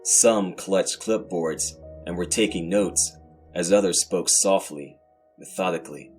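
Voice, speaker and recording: A man narrating horror fiction as host, in a scripted, dramatic and brooding manner with deliberate pauses. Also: low voice, faint music behind.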